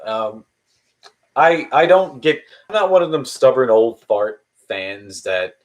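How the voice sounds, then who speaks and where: A man's voice: medium pitch, slow. A man in his early thirties chatting casually on a live stream, answering viewer questions in a rambling, hesitant way with many restarts. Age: 30s. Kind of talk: casual chat.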